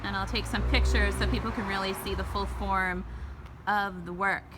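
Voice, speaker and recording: A young woman hosting a casual interview, speaking earnestly and warmly, with many "um"s and "really"s. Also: mid-range voice, steady tone, normal speed. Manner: earnest, gushing, many fillers. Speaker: interviewer, host. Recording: clear street and vehicle noise.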